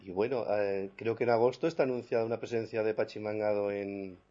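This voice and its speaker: Chatty Spaniard radio host, lo-fi sound. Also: male voice, on the low side, steady tone, fairly quick, warm tone. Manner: chatty, prompting questions. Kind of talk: radio talk and phone interviews. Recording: dull lo-fi radio recording.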